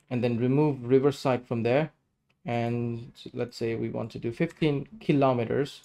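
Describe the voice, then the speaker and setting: A man's voice: mid-range voice, dark tone. A man in his thirties giving a casual screen-share tutorial, explaining each step as he goes. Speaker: tutorial presenter.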